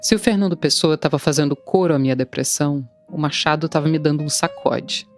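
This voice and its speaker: A woman's voice: deep voice, brisk, soft background music. A woman in her thirties, a translator, telling her own story in wry, confessional scripted narration. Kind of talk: scripted first-person narration.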